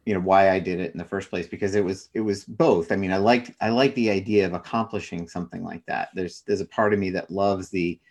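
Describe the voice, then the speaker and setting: A man's voice: low-pitched, fairly even intonation, fairly quick, hardly any pauses, darkish tone. A middle-aged man speaking as an interview guest, explaining his thinking in a reflective, conversational way. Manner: reflective, explains through personal examples.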